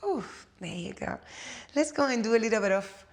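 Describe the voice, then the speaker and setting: A woman from Cuba with a Cuban Spanish accent, a native Spanish speaker, guiding a yoga class with slow, soothing cues and playful asides. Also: on the high side, animated. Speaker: yoga instructor.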